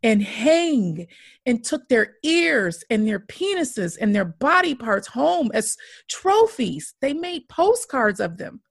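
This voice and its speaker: Impassioned woman with a gravelly edge. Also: a little high, sing-song, takes it slowly, dry recording, studio quality. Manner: impassioned, emphatic repetition. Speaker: podcast host. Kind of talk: earnest podcast conversation.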